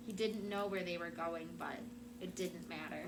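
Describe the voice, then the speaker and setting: A woman's voice: on the low side, steady tone, normal speed. A woman teacher reading a storybook aloud to young children with dramatic, emphatic phrasing. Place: classroom. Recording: noisy room with background ambience.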